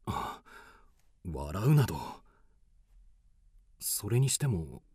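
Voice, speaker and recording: A brave young warrior in a period radio drama. He talks in earnest, archaic phrases with very animated intonation. The recording is close and dry. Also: sits low, drawn-out words, husky, no echo, exaggerated voice. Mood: earnest, curious. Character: brave, earnest young male samurai of early Heian Japan.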